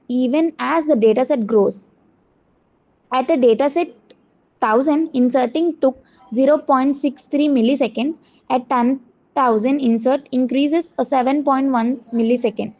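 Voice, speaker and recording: A woman student reading out a scripted project presentation in a halting way, with stumbles and self-corrections. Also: high voice, darkish tone. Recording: dull, muffled lo-fi audio.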